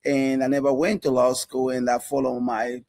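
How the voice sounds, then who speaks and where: A man from South Africa, a chef and restaurant owner, talking casually in a TV interview, halting with many fillers and restarts. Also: mid-range voice, flat delivery, a little mellow, no echo, clean studio sound.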